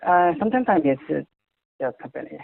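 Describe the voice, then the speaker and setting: A young Korean man speaking English with a Korean accent as an interviewee, halting and searching for words, often restarting. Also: on the high side, lively intonation, creaky. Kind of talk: casual conversation in English. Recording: tinny phone-like audio.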